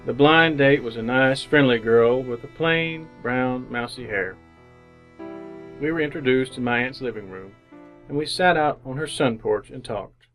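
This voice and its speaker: A man's voice: medium pitch, measured pace, a bit bright, faint music behind, natural voice. An actor playing an earnest, jaded young ad man who narrates his misadventures in old-time radio drama style. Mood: wry, brooding.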